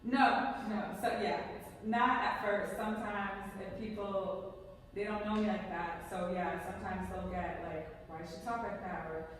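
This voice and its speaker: Soft-spoken young woman, roomy echo. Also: mid-range voice, fairly even intonation, normal speed, slight background noise. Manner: laughs often, soft-spoken, reflective. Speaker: podcast co-host. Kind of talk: casual chat. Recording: echoey room, far from mic.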